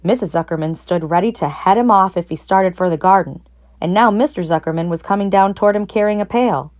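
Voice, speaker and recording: A woman narrating a children's storybook aloud in an expressive reading style. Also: mid-range voice, a little fast. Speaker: narrator, read-aloud reader.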